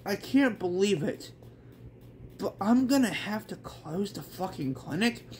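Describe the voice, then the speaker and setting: A man voicing a weary divorced police chief and doting father in a manga read-along, plain and halting. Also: high voice, animated, a little hiss or hum, voice pushed up. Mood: weary, fond.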